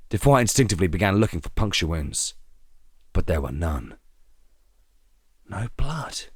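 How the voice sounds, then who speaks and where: A man narrating a scripted story in a dramatic, expressive and suspenseful way. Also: deep voice, slightly rough, no echo, clean studio sound.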